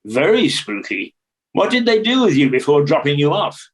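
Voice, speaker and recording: A skeptical radio producer played by a male actor in an audio drama. His voice is fairly high for a man, with a dry, questioning delivery. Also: sing-song, normal speed. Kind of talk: radio play dialogue.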